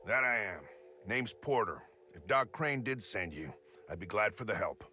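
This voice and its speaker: An actor playing a gruff, terse working man from a colony outpost in a video game. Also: lowish voice, expressive, normal speed, pushed and bright, soft background music. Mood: brusque. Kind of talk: video game character acting.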